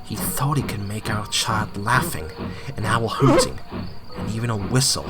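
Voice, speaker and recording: A man narrates an audio drama in a somewhat low voice. His delivery is scripted storytelling, with music clearly playing behind him. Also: lively intonation, normal speed.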